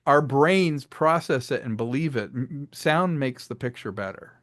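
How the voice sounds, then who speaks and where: Male director as interview guest, medium pitch, enthusiastic rambling explanations, dry recording. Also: animated, moderate pace, fairly bright tone, no room sound. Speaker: interview guest, audio drama director and actor. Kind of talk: casual interview chat.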